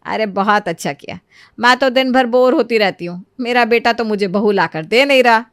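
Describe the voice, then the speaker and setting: Woman doing a kind motherly middle-aged lady from a moral story, high voice, dry sound. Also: moderate pace, no echo, lightly acted. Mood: welcoming. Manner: reasoning, motherly. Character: kindly middle-aged mother, gentle defender of young brides. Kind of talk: animated moral storybook dialogue.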